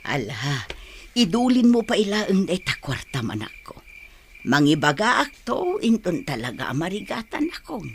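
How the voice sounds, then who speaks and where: Caring mother, expressive swooping voice. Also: fairly low pitch, normal speed, slight background noise. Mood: worried. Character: caring, long-suffering mother of a grown son. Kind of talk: radio drama acting.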